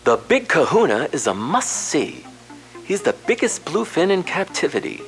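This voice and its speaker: A television nature announcer in an audio play. His voice swings broadly in pitch over a music bed. He is showy, authoritative and emphatic, full of enthusiasm. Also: big pitch swings, normal speed. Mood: showy, informative.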